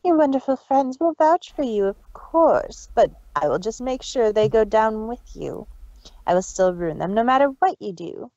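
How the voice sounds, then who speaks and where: A woman's voice: fairly high pitch, big pitch swings, normal speed, warm tone, no echo, muffled recording. A woman voicing a cruel, arrogant big-sister mare villain in a dramatic story reading, taunting and condescending. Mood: menacing. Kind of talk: dramatic fan fiction reading.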